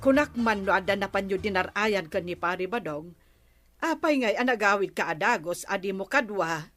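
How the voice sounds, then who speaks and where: A woman's voice: mid-range voice, lively intonation, moderate pace, fairly bright tone, faint music behind, close to an everyday voice. A woman playing a nagging, questioning wife in an Ilocano radio comedy, scolding and probing with an Ilocano accent. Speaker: comedy drama actress. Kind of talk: Philippine radio comedy drama acting.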